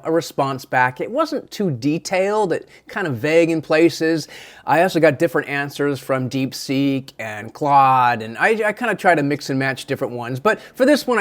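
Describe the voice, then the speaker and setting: A man presenting a software tutorial in a casual, chatty, slightly self-deprecating way. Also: fairly high pitch.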